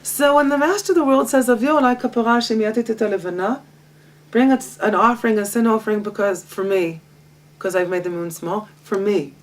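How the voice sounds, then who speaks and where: A woman, a Hebrew speaker, teaching a Torah lesson with a lively, self-mocking manner and frequent laughter. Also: fairly high pitch, fairly quick. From Israel. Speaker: guest teacher. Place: home library. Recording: distant mic.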